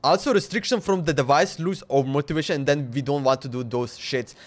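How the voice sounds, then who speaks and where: A man's voice: on the high side, brisk. A man chatting casually on a game livestream in an informal, slangy, repetitive way. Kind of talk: casual stream chat.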